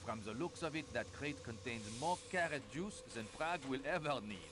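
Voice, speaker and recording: A man voicing a helpful, courteous railway worker in a video game, measured and formal. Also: on the high side, lively intonation, noisy background, natural voice. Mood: obliging, matter-of-fact. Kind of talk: video game character acting.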